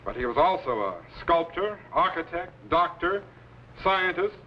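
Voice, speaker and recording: An actor playing a commanding, scholarly time-machine captain in a crisp, authoritative 1950s science fiction serial style. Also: male voice, on the high side, lively intonation, measured pace, slight background noise. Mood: explaining. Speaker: captain and mentor. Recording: dull vintage broadcast with loud music.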